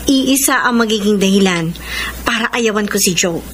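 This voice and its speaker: A woman's voice: medium pitch, normal speed, lightly acted. A woman acting a frank, self-assured young girlfriend in a Filipino radio drama. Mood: defensive. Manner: frank, assertive. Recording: radio drama with loud noisy ambience.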